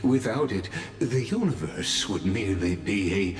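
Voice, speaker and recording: A man playing a theatrical, smug and playful scholar-narrator in a video game, speaking grandly with teasing, deliberate pauses. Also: fairly low pitch, expressive. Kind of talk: dramatic video game monologue. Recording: roomy reverb over music.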